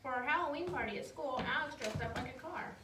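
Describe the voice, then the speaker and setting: A woman reading children's picture books aloud in a simple, expressive storytelling way, with casual asides. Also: high-pitched, fast talker. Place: outdoors in the wind, with animals. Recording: echoey, distant, noisy windy outdoor recording.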